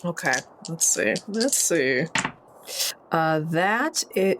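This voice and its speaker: Female game player, unhurried, very animated pitch, casual and reactive, faint noise in the room. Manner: expressive, reactive, exclamatory. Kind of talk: casual tabletop game chat. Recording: noticeable background noise, room ambience.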